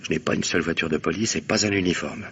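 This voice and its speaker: An actor playing a curt, authoritative, impatient police commissioner in a radio mystery play. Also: male voice, low voice, fast, vocal fry, a bit bright. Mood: calm, watchful. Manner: curt, commanding. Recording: dull, noisy old radio drama recording.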